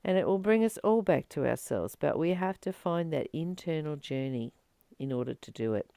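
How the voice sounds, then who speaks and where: A woman reading from a spiritual book and commenting on it informally, in an earnest, emphatic manner with deliberate pauses. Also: deep voice, lively intonation, creaky, mellow, smooth, dry recording.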